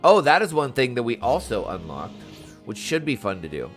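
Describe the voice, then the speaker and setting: A man's voice: medium pitch, very animated, normal speed, a bit bright, soft background music. A man giving casual, playful commentary as he plays through a video game. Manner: playful, chatty, exclaiming. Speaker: gaming streamer. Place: dark room at home.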